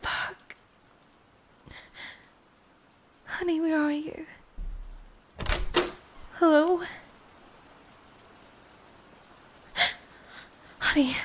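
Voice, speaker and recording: A woman acting out a frightened young woman in a horror audio roleplay. Her voice is high and she takes her words slowly. She sighs, swears and can slip into breathy whispers as she talks to her partner. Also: little pitch movement, noisy background. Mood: distraught, pleading. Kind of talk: improvised ASMR audio roleplay.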